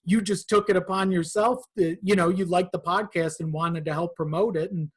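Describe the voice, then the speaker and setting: Male podcast guest, slightly high voice, informal, hesitant delivery with repeated phrases. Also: fairly even intonation, normal speed, slightly rough. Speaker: podcast guest, audio drama creator. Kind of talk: casual chat.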